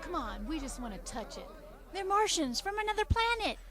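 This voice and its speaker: A woman's voice: very high voice, very animated, a little fast, crowd noise. A woman playing a cheeky, whiny young child in a radio drama, bratty and insistent. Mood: bratty, defiant.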